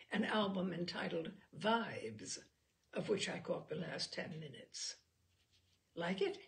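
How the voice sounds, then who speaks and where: A woman reading literary humour aloud in an expressive, theatrical and wryly amused manner. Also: lowish voice, gravelly. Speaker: reader of humour stories.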